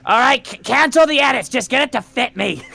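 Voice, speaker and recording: Male RPG player, sharp and gravelly, sardonic, lively swings in casual banter. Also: extremely high pitch, moderate pace, no echo. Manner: sardonic, flippant. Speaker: player in a tabletop game.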